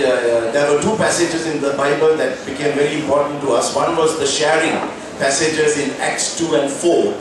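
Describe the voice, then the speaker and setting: A man in his fifties from Sri Lanka, speaking thoughtfully with hesitant restarts at a press briefing. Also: on the high side. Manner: thoughtful, hesitant, repeating starts. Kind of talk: reflective talk with light laughs. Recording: echoey room, mic at a distance, clear background noise.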